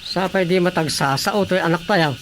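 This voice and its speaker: A man's voice: high voice, normal speed, fairly bright tone, a little hiss or hum. A man with an Ilocano accent acting a grumbling, put-upon village councilman in a radio drama comedy. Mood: exasperated.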